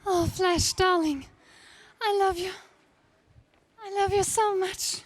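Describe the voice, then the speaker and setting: An actress playing a devoted, melodramatic damsel in love, highly emotional, in a space serial radio drama. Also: female voice, fairly even intonation, slow, no room sound. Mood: anguished love.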